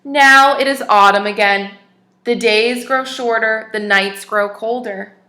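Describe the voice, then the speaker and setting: Woman teacher doing storytime, bright clear voice, keeps asking the kids questions, echoey room. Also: fairly high pitch, measured pace. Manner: expressive, asks the young listeners questions. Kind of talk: reading a picture book aloud to children.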